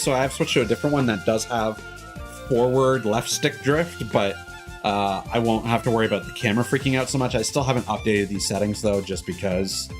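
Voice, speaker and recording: A man chatting casually as he streams a game, rambling and self-deprecating, with some swearing. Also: fairly low pitch. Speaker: live streamer. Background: cartoonish upbeat game music.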